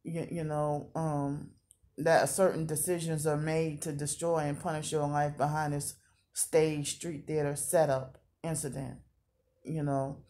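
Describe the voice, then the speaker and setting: A woman's voice: low voice, flat delivery, measured pace, warm tone. A woman in her thirties talking casually in a confessional vlog, rambling and repeating herself. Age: 30s. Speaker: vlogger.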